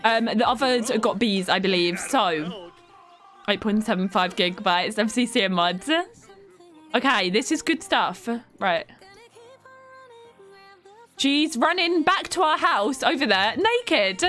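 A young woman streaming a life-sim game from home, chatting warmly with her viewers while stuffed up with a cold. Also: medium pitch, expressive, slow pace, pauses often, faint music behind. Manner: chatty, affectionate toward chat, giggly. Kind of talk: live game stream chat.

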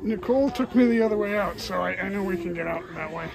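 A man's voice: high-pitched, fast, vocal fry, a crowd in the background. Male walking-tour vlogger, casual conversational delivery, recorded outdoors on a handheld camera. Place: outdoors in narrow alleys.

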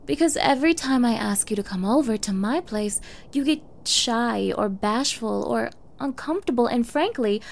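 A woman's voice: fairly high pitch, darkish tone. A woman playing a flustered, clingy young yandere in an ASMR girlfriend roleplay, with a coy, stammering manner and feigned ditzy innocence. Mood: exasperated, insistent. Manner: stammering, coy, eager, breathy asides. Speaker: roleplay voice performer. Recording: faint background noise.

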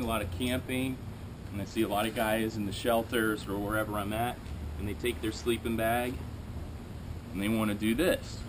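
A man in his thirties hosting a casual how-to demonstration outdoors, chatting in a relaxed way while he kneels and packs gear. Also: lowish voice, noisy background. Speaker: outdoor gear show host. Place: backyard lawn, outdoors.

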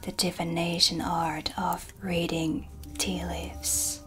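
Slow, whispery woman as a dark witch. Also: fairly low pitch, level tone. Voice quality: breathy, whispery. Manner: slow, deliberate, theatrical. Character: sly, composed dark witch hosting tea. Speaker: ASMR roleplay performer. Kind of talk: soft-spoken ASMR roleplay. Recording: music behind the voice. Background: ambient music.

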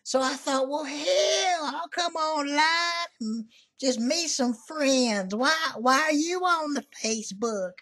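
A man's voice: very high-pitched, takes it slowly, bright, forceful tone, full character voice. A man doing a salty old Southern country woman. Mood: brash flirty. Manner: folksy, salty, drawling, calls people honey. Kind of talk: prank call.

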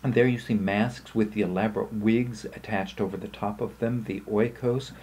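A man giving an explanatory slide lecture in short, measured phrases with pauses. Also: lowish voice, fairly even intonation, a little mellow, a little hiss or hum.

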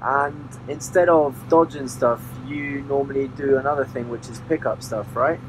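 A man's voice: medium pitch, fairly even intonation, normal speed. Male off-camera interviewer, middle register, casual questions, noisy boat cabin recording. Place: small boat wheelhouse. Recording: clear engine noise.

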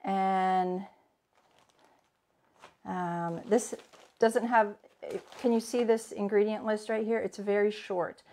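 A woman's voice: medium pitch, a little mellow. A woman in her forties teaching a live cooking demo from her home kitchen, chatting and greeting viewers by name as she works. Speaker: cooking instructor.

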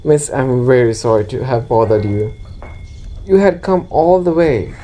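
A man acting a down-on-his-luck, apologetic rat trap seller in a student radio drama, halting and pleading. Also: medium pitch, expressive, mellow, a little hiss or hum, lightly acted.

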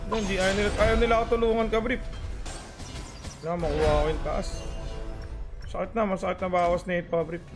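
A Filipino man giving excitable, slangy live commentary on a mobile game, repeating his catchphrases. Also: high voice, a little fast, pauses often. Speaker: gaming streamer. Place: indoor gaming setup with headrest chair. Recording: voice over loud game music. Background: game music.

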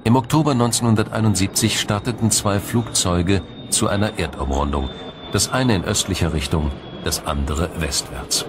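Low-pitched male documentary narrator. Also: normal speed, noisy background.

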